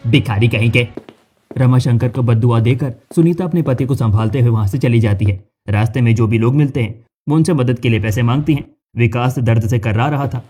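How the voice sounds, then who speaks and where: A man's voice: mid-range voice, a little fast, few pauses, mellow, faint music behind. A man reading scripted narration for a cartoon story.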